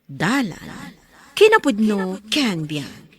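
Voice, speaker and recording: A woman hosting a scripted programme, presenting and reading scripture in a measured, expressive manner. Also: mid-range voice, slightly rough, no echo, clean studio sound. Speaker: programme host.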